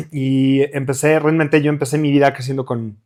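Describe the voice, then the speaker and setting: A man's voice: medium pitch, normal speed. Rambling Mexican man with fillers. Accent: Mexican Spanish. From Mexico City. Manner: relaxed, rambling with many restarts and fillers. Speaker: guest musician. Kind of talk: casual conversational interview.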